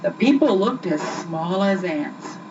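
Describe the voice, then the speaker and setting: A young woman reading a children's story aloud with expressive, scripted narration, on an old amateur recording. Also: medium pitch, slow pace, distant mic. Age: young adult. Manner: expressive, acts out the dialogue. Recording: muffled, noisy old amateur home recording.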